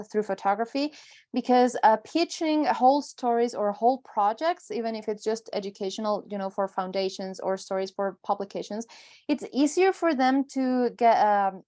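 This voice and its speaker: A woman's voice: on the high side, a little mellow. A woman panelist giving conversational, earnest advice and explaining her points step by step.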